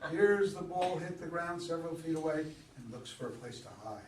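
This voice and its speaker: A man's voice: fairly high pitch, lively intonation, fairly quick. A man reading stage directions as narrator at a staged play reading. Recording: echoey room, far from mic, background noise.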